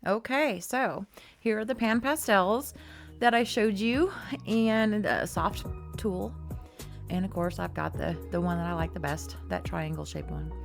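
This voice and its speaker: Hoarse, chatty woman with a gravelly voice. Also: medium pitch, unhurried, music in the background. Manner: chatty, rambling, many ums and restarts. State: hoarse, losing her voice. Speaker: art teacher. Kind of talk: casual art tutorial.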